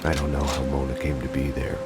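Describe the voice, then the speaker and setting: An actor playing a world-weary hard-boiled detective, narrating a noir game in a grim deadpan. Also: male voice, extremely low pitch, fast talker. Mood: brooding, grim. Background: music.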